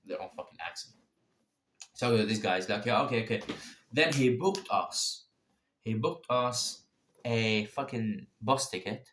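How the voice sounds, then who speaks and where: A young man casually telling a long personal story, rambling, swearing often and repeating himself. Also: fairly low pitch. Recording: echoey room with faint noise.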